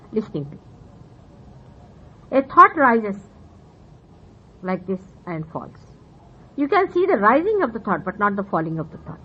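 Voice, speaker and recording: A woman from India whose first language is Marathi speaks with an Indian accent, explaining spiritual ideas earnestly to her listeners and pausing often with fillers. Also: mid-range voice, breathy edge. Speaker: spiritual teacher. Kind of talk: spiritual talk to followers. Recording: dull old 1970s tape with faint hiss.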